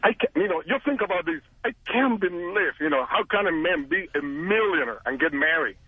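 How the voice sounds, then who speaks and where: A man's voice: fairly high pitch, lively intonation, fairly quick, husky, no room sound. A man with a Cuban accent calling in to a radio show, talking emphatically and throwing out rhetorical questions. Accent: Cuban Spanish. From Cuba. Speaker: caller. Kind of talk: call-in radio chat. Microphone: telephone. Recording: muffled phone line.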